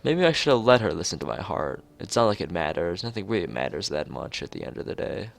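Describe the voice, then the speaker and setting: A man reading a visual novel aloud in an expressive narrating style, as a let's-player. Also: low voice, fast. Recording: music behind the voice. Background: clear background music.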